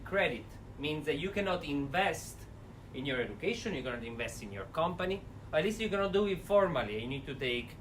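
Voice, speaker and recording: A man with a thick Italian accent giving an energetic, interactive seminar talk, standing and speaking without a microphone. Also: on the high side. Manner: energetic, addresses audience directly. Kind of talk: interactive lecture. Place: university seminar room. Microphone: no mic, standing away from recorder. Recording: distant voice with faint room noise.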